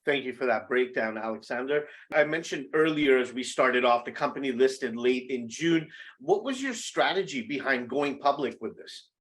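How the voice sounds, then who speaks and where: A man's voice: mid-range voice, moderate pace. A man hosting a business interview over a video call, asking polite questions from an indoor room. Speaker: interview host.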